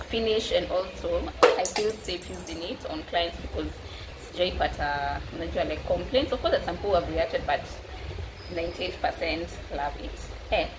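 A woman in her thirties with a Kenyan accent, chatting casually and informally to camera as a makeup artist. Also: on the low side. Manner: informal, mixes Swahili into English. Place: indoors against a plain dark wall. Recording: clearly noisy background ambience.

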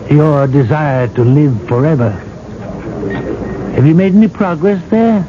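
Man acting a cardinal, solemn and slow, old dull radio recording, crowd behind. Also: on the high side, lightly acted. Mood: earnest, insistent. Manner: measured, solemn.

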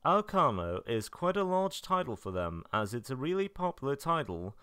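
Male review narrator, lowish pitch, very varied intonation, deadpan humor, dry recording. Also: moderate pace, smooth, no echo. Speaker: video narrator reviewing game releases. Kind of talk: semi-scripted review narration.

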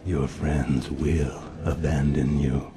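A buried temple in a fantasy game, where a malevolent elder god intones dread in a bottomless bass over music. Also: male voice, flat delivery. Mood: cold malevolence. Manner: ominous, measured pronouncements. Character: monstrous old god, malevolent whispering dread. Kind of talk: video game character acting.

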